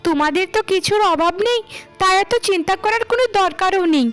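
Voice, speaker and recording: A woman's voice: very high-pitched, brisk, a bit bright, faint music behind. A woman voicing a breezy, self-assured young bride in a cartoon drama, with a chirpy manner. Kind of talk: melodramatic cartoon dubbing.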